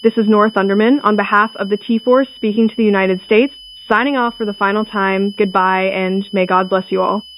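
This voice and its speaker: A woman's voice: medium pitch, fairly even intonation, brisk, few pauses, natural voice. Woman acting solemn doomsday broadcaster, noisy recording. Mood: solemn farewell. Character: female government representative giving a solemn final doomsday broadcast. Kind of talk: scripted emergency broadcast announcement. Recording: noisy, humming radio-like recording.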